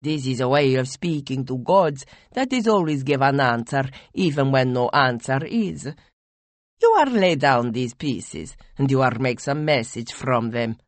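A woman voicing a relaxed foreign man who speaks with broken grammar. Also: rumbling low, measured pace, dry recording. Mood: easygoing. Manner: broken non-native grammar, easygoing.

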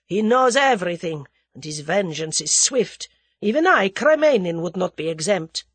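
A woman's voice: fairly low pitch, animated, moderate pace, husky, dry recording. A woman voicing a cowardly, venomous Russian aristocrat in formal, theatrical speech for an audiobook. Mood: fearful, sullen. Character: venomous, cowardly Russian aristocrat man, haughty then panicky.

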